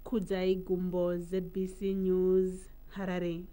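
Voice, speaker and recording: A woman's voice: on the low side, monotone, slow pace, warm tone. A woman with a Zimbabwean accent narrating a scripted television news report as a reporter.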